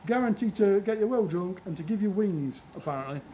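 A man's voice: high voice, expressive, a little fast, dark tone, a little hiss or hum. A man playing a sociable nightclub mate in an amateur radio play read aloud. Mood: friendly. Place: large conference room before an audience.

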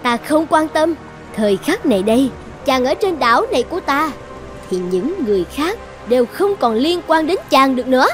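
A woman's voice: high-pitched, very animated, normal speed, faint music behind. A woman voicing a possessive, lovesick sorceress princess in a cartoon fairy tale, commanding and coaxing with an edge of menace. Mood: defiant, possessive.

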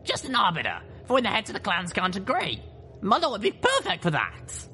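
A confident young female griffin, read by a man in a storybook tale. The voice is brisk and lively, lifted well above a male register. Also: high-pitched, slight background noise, voice pushed up, exaggerated voice. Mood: assured.

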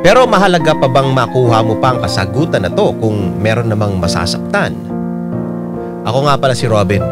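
A man with a Filipino accent narrating a scripted radio drama story as a letter. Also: mid-range voice. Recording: loud piano music under the voice. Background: loud piano music.

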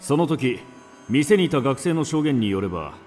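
An actor playing a businesslike police detective in a radio drama, terse and authoritative, with clipped, deliberate delivery. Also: male voice, mid-range voice, sing-song, darkish tone, faint music behind, close to an everyday voice. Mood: stern, clinical.

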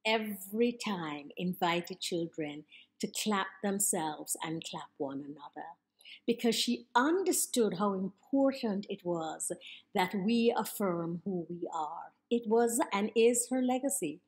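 An older woman with a Jamaican accent, a poet giving a measured, emphatic spoken tribute over a video call from her home study. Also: mid-range voice, lively intonation. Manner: measured, emphatic, with list-like repetition. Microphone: video call webcam.

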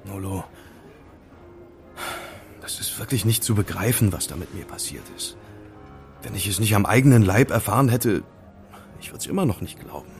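Sheepish young hacker in an audio drama, kinda low voice, sorry for himself, faint music behind. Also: male voice, expressive, moderate pace, long pauses. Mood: remorseful. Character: young male hacker, remorseful and self-pitying.

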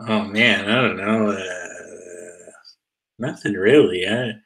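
A man's voice: low voice, slow pace, creaky, a bit bright. A man who makes indie comics, guesting on a casual video call. He talks modestly and laughs often.

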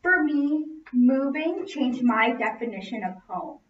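A woman's voice: sits high, animated, measured pace. A young woman from Los Angeles with an American accent gives a prepared narrative speech, projecting expressively to a classroom. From Los Angeles, United States. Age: young adult. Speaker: student speaker. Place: classroom, indoors. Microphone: distant camera microphone. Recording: roomy, distant, muffled, noisy camera audio.

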